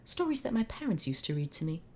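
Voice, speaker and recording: A woman voicing a gentle, kindly young male pharaoh, speaking with warm courtesy. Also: lowish voice, sing-song, fast talker, a little hiss or hum, pitched above the performer's own voice.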